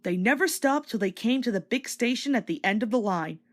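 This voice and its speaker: A woman reading a children's story aloud as an expressive, scripted read-along narrator. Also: a little high, a little fast.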